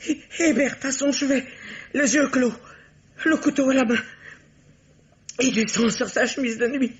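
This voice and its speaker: An actress playing a middle-aged, bossy and protective elder sister in an old radio mystery, with theatrical, emphatic delivery. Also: female voice, sits high. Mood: horrified, distraught. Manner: theatrical, emphatic, quick to argue. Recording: dull, lo-fi vintage radio recording.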